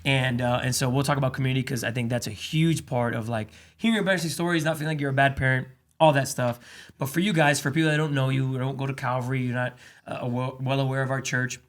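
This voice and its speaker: A man's voice: medium pitch, steady tone, fast. A man hosting a faith and parenting podcast in a casual, upbeat way, laughing often and encouraging his guests. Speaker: podcast host. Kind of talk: casual conversational interview.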